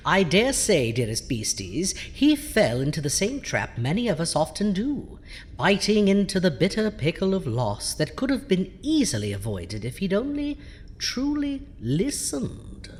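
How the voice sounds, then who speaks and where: A woman's voice: on the low side, big pitch swings, measured pace, darkish tone. A woman playing a zombie doctor who hosts a campy horror show, with a pompous, florid, theatrical manner. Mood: mock pity. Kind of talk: campy horror host monologue. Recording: long hall reverb.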